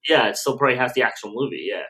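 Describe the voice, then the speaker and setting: A man's voice: medium pitch, lively intonation, rapid-fire, pushed and bright, poor audio. A man co-hosting a podcast, chatting casually in a slangy, irreverent way. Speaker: podcast co-host. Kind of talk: casual chat.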